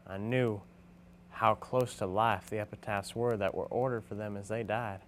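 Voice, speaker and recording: A man's voice: fairly low pitch, a bit bright, slight background noise. A man performing a dramatic monologue as a reflective, weary gravestone carver confessing his part in things.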